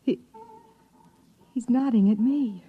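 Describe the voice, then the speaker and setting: An actress playing a fearful wife on the run, pleading and breathless with worry, in a 1950s radio drama. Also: female voice, a little high, takes it slowly, phone-quality sound. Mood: frightened, pleading. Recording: vintage radio recording with music, faint crowd.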